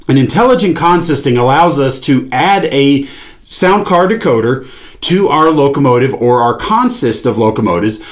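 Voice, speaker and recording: A man in his forties giving an instructional product demonstration, explaining things step by step. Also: mid-range voice. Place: small indoor studio with padded panel wall, seated at a table.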